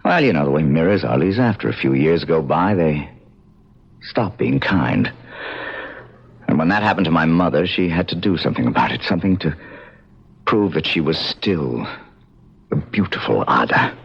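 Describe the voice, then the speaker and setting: An actor playing a haunted, bitter man in an old-time radio drama, brooding and deliberate, with dramatic pauses. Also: extremely low pitch, expressive, gravelly, husky, exaggerated voice. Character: haunted, bitter man traumatised by his parents' past. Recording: dull lo-fi vintage radio broadcast.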